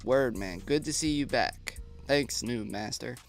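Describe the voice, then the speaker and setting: A man's voice: medium pitch, unhurried, gravelly, soft background music. A man with a stuffy nose hosting a casual live stream, chatting and reading viewer comments aloud.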